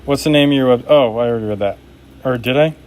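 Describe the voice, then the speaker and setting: A man's voice: mid-range voice, fast, darkish tone. A man making knives during a live stream, chatting casually and reading viewer comments aloud in an upbeat, laid-back way.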